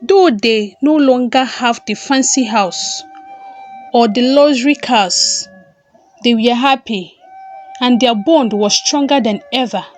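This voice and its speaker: A woman narrating a scripted folktale as a storyteller, in a measured and deliberate manner with pauses between phrases. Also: a little high. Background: background music.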